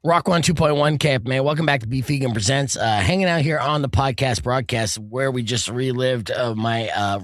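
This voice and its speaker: A man's voice: medium pitch, normal speed, few pauses, fairly bright tone, no room sound. A man hosting a podcast in a studio, chatting casually and joking in a rambling way, with lots of fillers and self-corrections. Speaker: podcast host.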